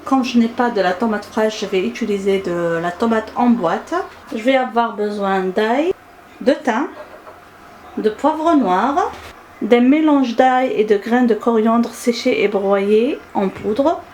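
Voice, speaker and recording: Woman with an Algerian accent, higher-pitched. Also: takes it slowly, darkish tone, slight background noise, low-quality recording. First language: Arabic. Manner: hesitant, frequent filler sounds. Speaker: cooking channel host. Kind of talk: step-by-step recipe narration.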